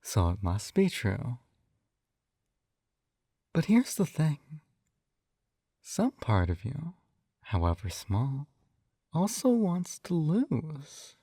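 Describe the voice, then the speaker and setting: A man playing a possessive, coaxing hypnotist captor in an intimate ASMR roleplay, with a soft velvety voice and teasing manner. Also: a little high, wildly swooping pitch, no echo, clean studio sound, heavily acted. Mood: persuasive, sly. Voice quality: soft, velvety, breathy whispers at times. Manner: slow coaxing, teasing tag questions.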